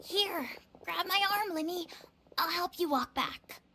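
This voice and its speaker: An actress playing a timid, eager little child in a video game, with an innocent manner. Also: female voice, very high-pitched, measured pace, pushed and bright, slight background noise, exaggerated voice. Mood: helpful, eager. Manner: innocent, eager, slightly hesitant.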